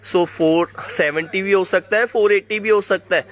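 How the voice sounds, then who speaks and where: A man running a fast live sale of clothing, calling viewers by name and coughing from a sore throat. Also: high-pitched, steady tone, a bit bright. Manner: fast hyped patter, addresses viewers by name. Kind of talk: live sales pitch to viewers. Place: indoor shop floor, clothes laid on mat.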